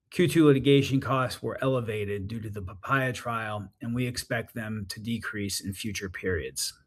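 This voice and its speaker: A man speaking as a chief financial officer, reading scripted financial results in a formal, methodical way. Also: fairly low pitch.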